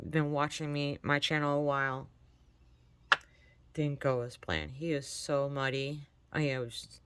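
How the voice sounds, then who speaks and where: A woman's voice: sits low, a bit bright, clear, resonant tone, no echo. Congested, chatty woman crafting host. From Michigan, USA. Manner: chatty, encouraging, talks while working. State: congested from allergies. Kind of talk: casual crafting tutorial chat.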